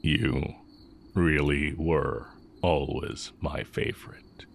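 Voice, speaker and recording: A man playing a sly, heretical magic teacher with secret longing, speaking in a measured, teasing way in a romantic audio roleplay. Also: very deep voice, steady tone, vocal fry, slight background noise, heavily acted. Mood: tender, confessional. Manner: measured, deliberate, teasing delivery with drawn-out pauses.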